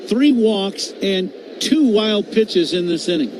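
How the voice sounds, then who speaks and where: High-voiced male baseball color commentator. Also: takes it slowly. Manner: conversational, opinionated. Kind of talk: live baseball analysis. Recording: noisy stadium ambience. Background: steady ballpark crowd noise.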